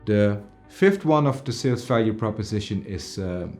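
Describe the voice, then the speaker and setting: A man's voice: lowish voice, expressive, normal speed, a little mellow, soft background music. A man in his forties giving a semi-scripted product presentation to camera in an indoor studio.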